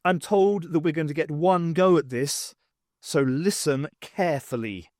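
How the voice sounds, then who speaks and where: A man voicing a brisk, flippant woman professor leading a rescue in an audiobook. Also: fairly high pitch, a little mellow, dry recording, studio quality, lightly acted. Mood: brisk, wry. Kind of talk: audiobook character voice.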